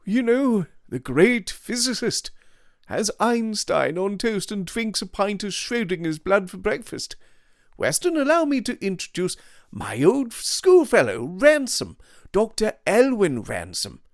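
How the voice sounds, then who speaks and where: A man voicing a high, glib mocking gentleman. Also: big pitch swings, unhurried, no echo, studio quality, full character voice. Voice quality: flippant, arch, sardonic banter. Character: slender, glib, mocking male schoolfellow.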